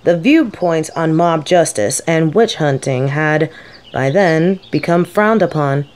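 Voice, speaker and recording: A woman playing a gentle, scholarly female professor in an audio roleplay, speaking thoughtfully in formal words. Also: deep voice, slow. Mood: measured, grave. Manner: soft-spoken, thoughtful, formal wording.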